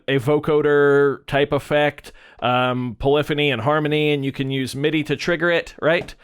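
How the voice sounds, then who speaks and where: A man's voice: on the high side, clean tone. A man presenting music gear in a casual, conversational and explanatory way. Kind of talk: casual product comparison talk.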